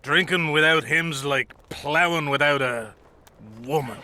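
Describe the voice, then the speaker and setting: An actor playing a blunt, boozy common soldier in a fantasy game, coarse and combative. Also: male voice, fairly high pitch, a little slow, bright, forceful tone. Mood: scornful. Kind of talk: video game dialogue acting.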